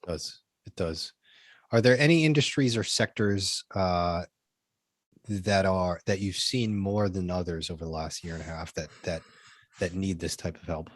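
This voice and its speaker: A male podcast co-host with a fairly low voice asks loose, rambling interview questions. He lists several examples before he gets to his point. The recording is dry, with almost no room sound. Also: normal speed, dry recording.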